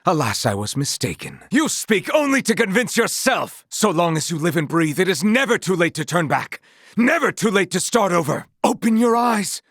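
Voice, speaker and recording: An actor playing an earnest, honorable young knight in a fantasy video game, speaking in formal, archaic, measured phrases. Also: male voice, sits high, very animated, fairly bright tone, no echo, studio quality, heavily acted. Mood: solemn, then impassioned pleading. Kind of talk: dramatic video game voice acting.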